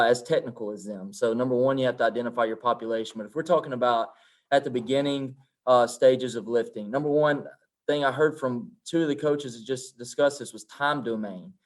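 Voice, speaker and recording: A man who is a strength coach, speaking emphatically in a roundtable discussion and asking rhetorical questions. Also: medium pitch.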